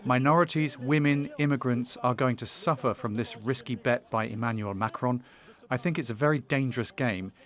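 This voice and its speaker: A man's voice: mid-range voice, normal speed. A man speaking in a news report clip, earnest and firm about his worries. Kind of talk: voiced statement in a news report.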